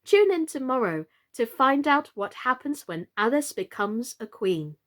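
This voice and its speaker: A British woman tells a children's story in a theatrical style. Her voice is fairly high and moves widely in pitch. She takes her time, with a deliberate, expressive delivery. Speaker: storyteller, actress. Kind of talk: expressive children's audiobook narration.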